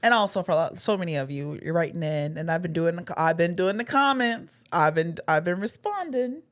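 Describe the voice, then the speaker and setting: Podcast lady, low-ish voice, earnest, keeps restarting her sentences. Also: animated, a little fast. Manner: earnest, rambling with many restarts and fillers. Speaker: podcast co-host. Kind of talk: casual podcast chat.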